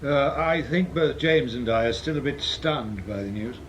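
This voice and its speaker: An actor playing a cautious, doubtful British intelligence committee member in a radio drama, reasoning a little hesitantly. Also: male voice, medium pitch, animated, moderate pace, dull audio, slight background noise, close to an everyday voice. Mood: wary.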